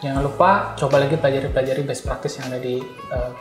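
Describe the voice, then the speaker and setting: A man's voice: medium pitch, steady tone, quick pace. A man giving casual, explanatory answers as an interview guest. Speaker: guest, backend developer. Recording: roomy echo, faint music behind.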